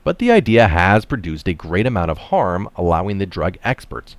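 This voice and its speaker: A man's voice: low-pitched, sing-song, normal speed, no echo. A man reading an article aloud, stressing phrases and adding casual asides of his own. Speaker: article reader and commentator.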